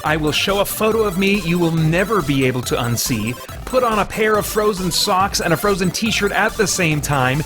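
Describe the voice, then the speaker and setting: A man with a high-pitched voice presents as the host of an online channel. He delivers an upbeat scripted pitch with playful self-deprecation. Music plays behind him. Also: lively intonation, moderate pace. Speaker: host of a geek-culture review channel. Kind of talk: upbeat scripted review outro and fundraiser pitch.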